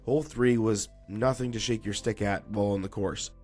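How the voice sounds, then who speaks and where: A man hosting a solo sports recap podcast, chatty and upbeat, his voice a little raspy and deeper from allergies. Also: fairly even intonation, fairly quick, darkish tone, soft background music. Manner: chatty and upbeat, with frequent fillers and restarts. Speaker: podcast host.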